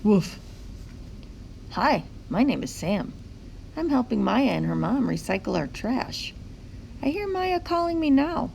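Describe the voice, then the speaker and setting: Woman reading as an eager, animated storybook dog. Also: on the high side, a little slow, creaky, pauses often, a little hiss or hum, exaggerated voice. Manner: expressive, exclaiming and wondering. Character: eager, curious pet dog, easily worried, telling its story. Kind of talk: children's picture book read-aloud.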